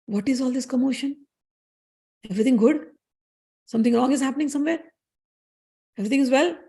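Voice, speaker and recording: A calm supreme male god who questions his visitors with gentle concern, as a woman storyteller voices him. His voice is soft and slightly raised. It sounds like a myth told as a story. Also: high voice, a little fast, pitched above the performer's own voice.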